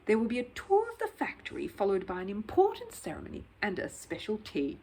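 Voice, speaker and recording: Storybook dad, read by a woman: helpful, measured, a little formal, with varied pitch. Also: wildly swooping pitch, darkish tone, breathy edge, exaggerated voice. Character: helpful, measured family father, adult man.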